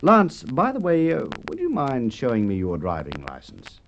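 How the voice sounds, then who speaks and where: A shrewd Australian police inspector, firm and methodical. His middle-pitched voice has the delivery of Golden Age radio drama. The old recording is muffled, with faint noise. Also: huge pitch swings, normal speed. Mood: methodical, probing.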